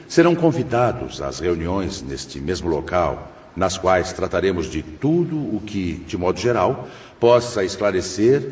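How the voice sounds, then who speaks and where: Casting: male actor as venerable spirit teacher, low voice with sweeping intonation, formal, exhorting, audiobook drama. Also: slight background noise. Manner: formal, measured, preaching. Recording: dull, reverberant hall sound with music behind.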